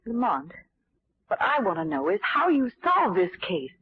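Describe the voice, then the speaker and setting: A woman's voice: mid-range voice, wildly swooping pitch, normal speed, breathy edge, lo-fi sound, exaggerated voice. A woman playing a poised, curious society lady, a detective's companion, in 1940s radio drama, polite in manner. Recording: thin, band-limited old radio recording.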